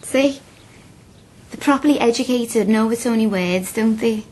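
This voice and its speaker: High, husky young Liverpool woman student. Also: measured pace, a little mellow. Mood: earnest, restless. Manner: blunt, chatty, colloquial. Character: blunt, curious young working-class woman student, chatty. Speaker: actress in a radio play. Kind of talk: naturalistic radio drama.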